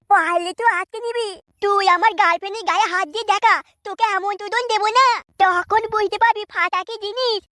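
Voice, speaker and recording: Squeaky, cocky cartoon boy. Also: bright, forceful tone. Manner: taunting, boastful. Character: cocky, quarrelsome cartoon boys trading crude taunts. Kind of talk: cartoon comedy dialogue.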